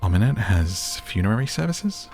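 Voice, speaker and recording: A soft-spoken man giving gentle, chatty, relaxed ASMR commentary over a game, as the host of the video. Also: lowish voice, moderate pace. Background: game music.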